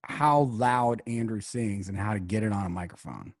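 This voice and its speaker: A man's voice: lowish voice, moderate pace, no room sound, studio quality. A man hosting a casual conversation, enthusiastically praising the vocal recording.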